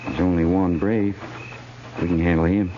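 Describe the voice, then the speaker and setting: An actor playing a gruff, wary cavalry sergeant with a folksy, plain-spoken manner in a 1950s radio drama. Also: male voice, low-pitched, steady tone, normal speed, close to an everyday voice. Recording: muffled, noisy 1950s radio recording.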